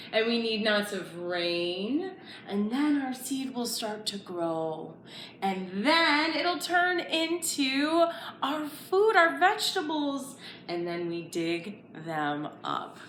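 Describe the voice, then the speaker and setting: Animated, high-voiced woman telling children a story. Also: slow pace, a little hiss or hum. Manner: sing-song, exaggerated emphasis, addressing children directly.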